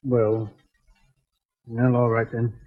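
A defensive doctor in a 1940s radio drama. His husky mid-range voice is hesitant and protesting. The old recording is tinny and narrow. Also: medium pitch, little pitch movement, slow pace. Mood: uneasy, guarded. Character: defensive, uneasy doctor, indignant under questioning.

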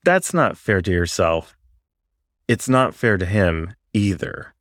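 A man voicing a supportive young female panther college friend in a story, gentle, careful and concerned. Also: deep voice, sing-song, slow, dry recording, studio quality, pitched below the performer's own voice, full character voice. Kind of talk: audiobook character dialogue.

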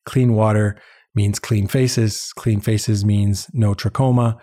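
Earnest man with a low, mellow voice. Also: steady tone, slow. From born in Uganda, later lived in Canada. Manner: earnest, reflective, repeats words for emphasis. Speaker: interview guest, head of an international eye-care charity. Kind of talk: conversational personal storytelling.

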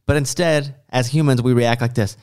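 A man's voice: mid-range voice, steady tone, moderate pace, a little mellow, clear, resonant tone. A man hosting a podcast, chatting casually and acting out little imagined quotes as he talks. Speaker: podcast host. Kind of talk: casual interview chat.

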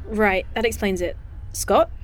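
A woman plays a caring but no-nonsense female virologist in a sci-fi audio drama. She talks in a dry, informal, brisk way at a medium pitch, sounding natural and conversational. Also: a little hiss or hum, close to an everyday voice. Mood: matter-of-fact.

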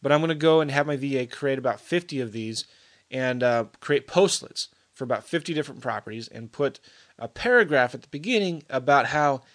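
A man's voice: mid-range voice, lively intonation, fairly quick, fairly bright tone. A middle-aged man talking casually to camera as a real estate investor, rambling with many fillers and restarts. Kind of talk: casual video update.

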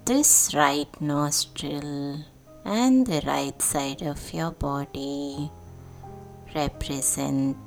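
A woman leading a guided sleep meditation in a soothing, deliberate way, with pauses between short phrases. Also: sits low, vocal fry. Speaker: meditation guide, podcast host. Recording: voice over background music. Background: meditation music.

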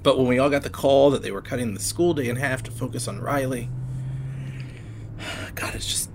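A man playing a worried, soft-hearted and protective adult, speaking gently and conversationally in an audio drama. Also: mid-range voice, steady tone, normal speed, lightly acted. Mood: concerned. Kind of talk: naturalistic audio drama acting. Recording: faint vehicle noise behind. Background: car ride.

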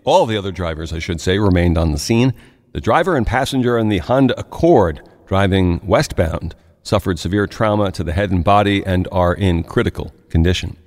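A man reading the local news as a radio anchor, in a steady, measured way with occasional asides. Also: lowish voice, big-room sound.

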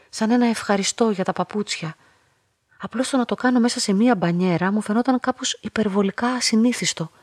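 A woman playing a lonely, submissive young narrator in a literary radio drama, speaking in a measured, introspective way. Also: mid-range voice, a little mellow, no room sound, clean studio sound, lightly acted. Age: young adult. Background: music.

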